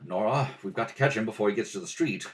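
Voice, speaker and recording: A man's voice: on the low side, quick pace, far from the mic, close to an everyday voice, poor audio. A man playing a cool, wry, take-charge detective husband in an amateur radio mystery read-through. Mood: urgent, hushed. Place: at a kitchen table at home.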